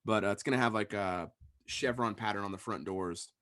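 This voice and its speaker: A man co-hosting a podcast in casual chat, hoarse and losing his voice, calling in remotely and rambling with restarts. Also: fairly low pitch, brisk, a bit bright, no echo, clean studio sound. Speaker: podcast co-host. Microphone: remote call connection.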